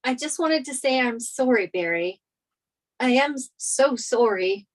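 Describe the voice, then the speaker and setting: An anxious young sitcom woman, played by a woman. She rambles apologetically in a high-pitched voice. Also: expressive, a little slow. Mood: apologetic, anxious. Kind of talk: sitcom script table read.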